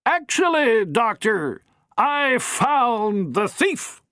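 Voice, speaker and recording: A reader voicing a furious, pompous older matron who declaims slowly and theatrically. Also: female voice, on the high side, sing-song, fairly bright tone, no room sound, clean studio sound, pitched above the performer's own voice, exaggerated voice. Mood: indignant, grand. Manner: haughty, theatrical, slow declamation.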